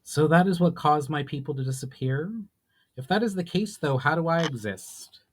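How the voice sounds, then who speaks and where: A man's voice: on the high side, lively intonation, normal speed, pitched above the performer's own voice. Man playing an earnest, urgently questioning male adventurer. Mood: insistent, puzzled. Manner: urgent, inquisitive, formal speech.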